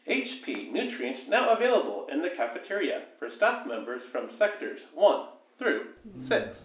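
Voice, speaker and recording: An actor playing an impersonal facility announcement system in a radio play, giving formal instructions. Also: male voice, high-pitched, very animated, normal speed. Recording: dull echoing PA announcement, music behind.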